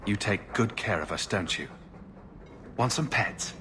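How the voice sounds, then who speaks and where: An actor playing a cocky, playful adventurer hero in a video game, bantering with a romantic streak. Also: male voice, on the low side, animated, a little slow, background noise.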